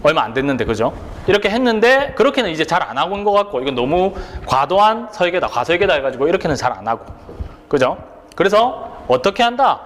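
A man's voice: high-pitched, big pitch swings, quick pace, long reverb tail. A male instructor giving an exam prep lecture, posing rhetorical questions and checking that students follow along.

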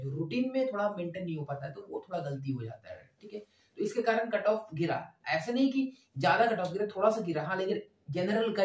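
A man giving an informal whiteboard lesson, conversational, repeating his points and often checking in with short tag words. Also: high voice. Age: 20s. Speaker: exam coaching teacher. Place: small indoor room at a whiteboard. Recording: roomy echo, distant microphone.

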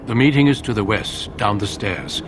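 An actor playing a grave, resolute middle-aged warrior commander in a video game, speaking in a formal, measured way. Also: male voice, lowish voice, background noise, natural voice. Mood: calm, formal. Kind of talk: video game dramatic acting. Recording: game audio with music behind. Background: music.

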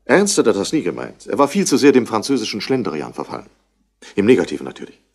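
A busy, self-important tycoon in a vintage radio crime play. The voice is on the low side and swings widely in pitch, with clipped, indignant delivery. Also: male voice, huge pitch swings, quick pace, breathy edge, exaggerated voice. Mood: indignant, disapproving. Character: brusque, busy rich Australian businessman, wool merchant's son. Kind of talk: 1960s German radio crime drama acting.

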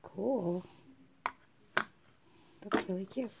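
A woman with a froggy, hoarse voice from a cold, chatting casually as she unboxes beauty products at her vanity table, often half-whispering and clearing her throat. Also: mid-range voice, noisy background. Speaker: beauty vlogger. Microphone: camera over the table, hands only in view.

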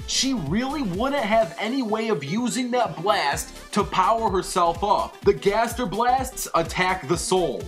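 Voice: medium pitch, a bit bright. A video host reading scripted commentary and addressing viewers directly. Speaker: host of an animated versus show. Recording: music under the voice. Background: background music.

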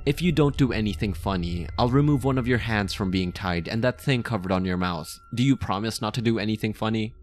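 A man playing a possessive, lovestruck mafia boss in an intimate ASMR roleplay, speaking softly and coaxingly, obsessive yet tender. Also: on the low side, faint music behind, close to an everyday voice. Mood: firm, coaxing. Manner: slow, soft, coaxing, close and intimate.

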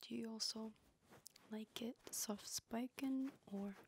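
A young woman reading a story aloud in a slow, soft, breathy ASMR whisper, her voice low. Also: fairly even intonation, a little hiss or hum. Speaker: ASMR host. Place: dark room lit by a candle.

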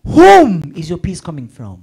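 A young man interpreting a sermon phrase by phrase in a church, speaking quickly into a handheld mic. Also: on the high side, huge pitch swings, gravelly, warm tone. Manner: quick, echoing each phrase after the preacher. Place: church stage, indoors.

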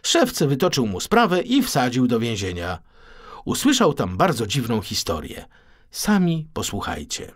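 A man narrating a children's story as an audiobook, in an expressive storytelling style. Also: mid-range voice, measured pace.

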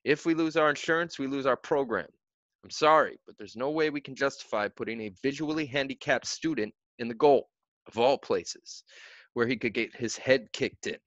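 A man voicing a middle-aged male soccer coach who lays down the rules in a firm, measured way. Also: mid-range voice, fairly bright tone, dry recording, lo-fi sound, voice pushed up, close to an everyday voice. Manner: firm, measured, rule-bound. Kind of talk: audiobook character voice.

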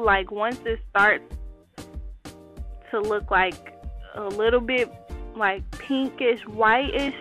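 A woman's voice: fairly high pitch, a bit bright. A young woman hosting a casual home cooking video, talking viewers through a recipe in a chatty, slangy way. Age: young adult. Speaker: cooking vlogger. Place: small home kitchen, indoors.

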